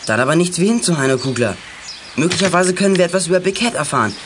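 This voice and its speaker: A man's voice: a little high, animated, quick pace, background noise, close to an everyday voice. An actor playing a worried, sensible teenage boy detective in a children's radio play, speaking earnestly and urgently. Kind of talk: 1980s German children's radio play acting.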